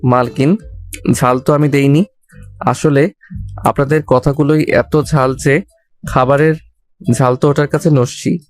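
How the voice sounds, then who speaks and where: A man's voice: mid-range voice, steady tone, unhurried, pauses often, close to an everyday voice. A man playing a cheeky young servant in an audio story, teasing with mock politeness and sarcasm. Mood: mock-polite. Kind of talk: Bengali audio story acting. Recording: background music under the voice.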